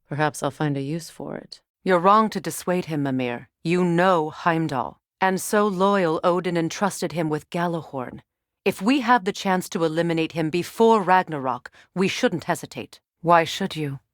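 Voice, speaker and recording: A regal warrior goddess with a low, dry-recorded voice. She is guarded and solemn, with a commanding, measured delivery and dry wit. It is dramatic acting for a fantasy video game. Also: no echo.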